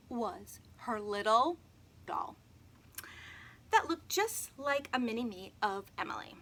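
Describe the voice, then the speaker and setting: A woman reading a children's chapter book aloud, addressing young listeners in an expressive storytelling manner. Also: a little high. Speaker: read-aloud host.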